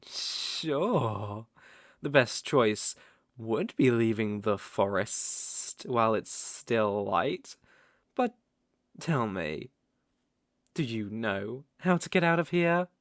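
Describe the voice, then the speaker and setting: Man as a seductive serpent monster, male, coaxing and possessive, unhurried, dry close sound, ASMR roleplay. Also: lowish voice, expressive, no echo, full character voice. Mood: coaxing, ominous. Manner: slow, coaxing, teasing with soft chuckles. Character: smooth-talking male naga monster, coaxing, possessive predator. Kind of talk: roleplay ASMR audio drama.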